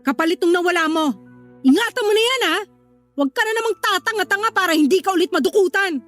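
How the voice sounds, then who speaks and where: A hot-tempered older sister in a Filipino radio drama. Her voice sits extremely high as she nags and scolds bluntly, though she cares underneath. Soft music plays behind her. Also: lively intonation, fast. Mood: gruffly caring.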